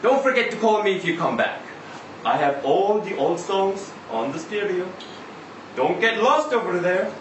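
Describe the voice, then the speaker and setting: High-voiced, animated Israeli cabbie played by a man. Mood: wistful, warm. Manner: conversational, halting, with rhetorical questions and repeated tags. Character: chatty, insistent Israeli male taxi driver, sentimental and proud. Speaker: reader at a live literary event. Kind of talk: dramatic monologue reading of a short story. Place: indoor live reading event. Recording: roomy live venue, distant mic, faint noise.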